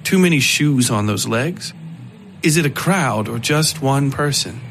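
A man reading scripted dark fiction as narrator, in a measured, literary storytelling manner. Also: mid-range voice, animated, slight background noise.

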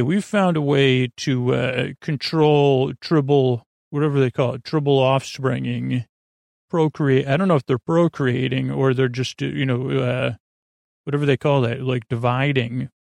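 A man hosting a podcast in a whimsical, meandering monologue, halting and often repeating or correcting himself, with a creaky, gravelly voice. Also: mid-range voice, dry recording, clean studio sound. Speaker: podcast host. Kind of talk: rambling, whimsical bedtime monologue.

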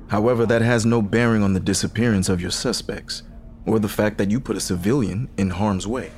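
A man's voice: fairly low pitch, brisk, darkish tone, a little hiss or hum, natural voice. An actor playing a by-the-book male police officer in an audio drama, with a dry, official manner. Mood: stern, matter-of-fact. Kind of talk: audio fiction acting.

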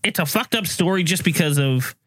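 A man's voice: on the high side, lively intonation, fairly quick, a bit bright, no echo, soft background music. A man hosting a podcast in casual chat, telling stories in a loose, profane way. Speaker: podcast host.

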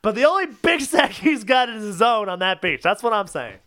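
A man's voice: sits high, big pitch swings, moderate pace, fairly bright tone. A man co-hosting a podcast, arguing insistently in a casual, comedic debate. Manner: insistent, argumentative. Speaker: podcast co-host.

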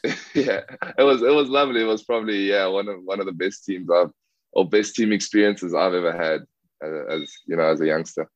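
A man's voice: deep voice, a little fast, no echo. A young man from South Africa, a rugby player answering interview questions casually, with many fillers and restarts. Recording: dull lo-fi dry sound.

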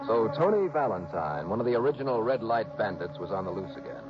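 An actor playing a hardboiled male insurance investigator, wry and brisk, in a 1960s radio drama. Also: lowish voice, very animated, over music. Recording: dull old radio recording, faint hiss.

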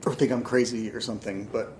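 A man playing a shaken, grieving man in a naturalistic horror audio drama, with halting, emotional delivery. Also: on the low side, fairly even intonation, darkish tone, a little hiss or hum, lightly acted. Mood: haunted, subdued. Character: Nate, shaken grieving man haunted by strange events.

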